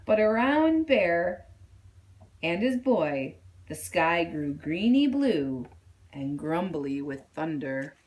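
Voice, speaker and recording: A woman reading a children's story aloud in an expressive style. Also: lowish voice, slow pace. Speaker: storybook reader.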